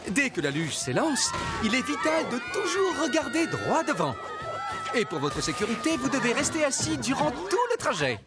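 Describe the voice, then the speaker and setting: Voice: high voice, wildly swooping pitch, moderate pace, over loud music. An actor voicing a prim, pedantic cartoon narrator who gives formal, precise instructions and gets easily flustered. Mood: prim, soothing. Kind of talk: scripted mock-instructional narration.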